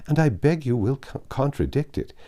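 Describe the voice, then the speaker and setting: A man's voice: medium pitch, animated, moderate pace, mellow, pitched above the performer's own voice, natural voice. A man voicing a vain, silly chatterbox of a woman in an affected, simpering manner for a novel reading. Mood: coy, simpering.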